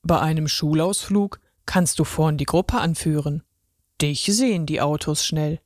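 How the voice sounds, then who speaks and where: Storybook figure: gentle, deep-voiced pen ticket inspector, voiced by a woman, low and warmly encouraging, dry recording. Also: a little slow, no room sound. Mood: reassuring. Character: kindly male pen ticket inspector with mustache. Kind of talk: children's story reading.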